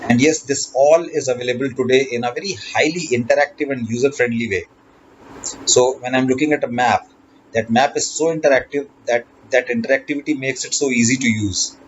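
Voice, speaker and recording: A man delivers an explanatory lesson in a medium-pitched voice, halting now and then to rephrase. The recording is dull and lo-fi, with faint noise behind him. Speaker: lecturer.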